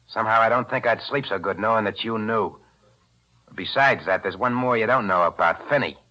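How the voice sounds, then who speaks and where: A cold, menacing 1940s crook. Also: male voice, lowish voice, fairly quick, pushed and bright. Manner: tough streetwise slang, coolly threatening. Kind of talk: 1940s radio acting. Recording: muffled old radio broadcast.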